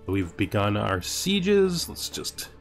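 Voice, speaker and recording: A man's voice: lowish voice, big pitch swings, slow. Man thinking aloud over game music. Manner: thinks aloud, talking to himself. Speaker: gaming video host. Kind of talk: casual strategy game playthrough commentary. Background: background music.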